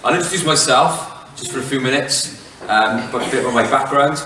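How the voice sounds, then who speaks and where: A man's voice: mid-range voice, a little fast, noisy background. Welshman talking in an echoey hall. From Wales. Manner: chatty, self-mocking, many fillers and restarts. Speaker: head teacher giving a talk. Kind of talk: relaxed public talk with jokes to the audience. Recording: echoey hall, mic from far off.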